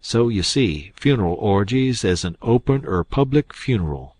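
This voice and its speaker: A long-winded swindler who savors every word of his own speeches, as a male reader puts him on. He sounds mock-solemn and self-satisfied, in a low voice recorded close and dry, as in an audiobook. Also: expressive, moderate pace, a little mellow, no echo. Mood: lecturing. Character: pompous smooth-talking male con man posing as English.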